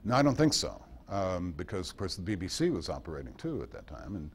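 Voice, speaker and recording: A man's voice: sits low, sing-song, fast, a little hiss or hum. A man giving an informal history talk at a club meeting, rambling conversationally through anecdotes with wry asides.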